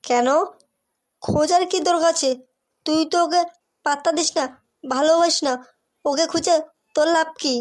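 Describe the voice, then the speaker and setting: A woman's voice: high voice, moderate pace, pauses often, close to an everyday voice. A woman voicing a bossy, teasing elder sister who coaxes and advises in a comic cartoon. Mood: teasing, coaxing.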